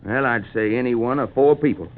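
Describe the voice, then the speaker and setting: A man's voice: mid-range voice, fairly quick, close to an everyday voice. A man playing a shrewd, matter-of-fact country fellow in 1940s radio acting. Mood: dry, shrewd. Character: shrewd, unhurried country man, drily reasoning. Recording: tinny 1940s radio recording.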